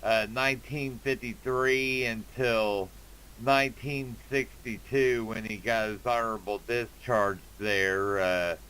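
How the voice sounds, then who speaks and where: Guy on ham radio, slow and halting, smooth clear voice. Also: medium pitch, bright, forceful tone, low-quality recording. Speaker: amateur radio operator. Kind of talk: casual ham radio conversation.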